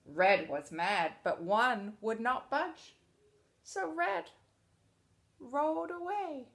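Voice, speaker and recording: A woman teacher reading a storybook aloud to children expressively, pausing to explain things in simple words. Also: sits high, gravelly, a bit bright.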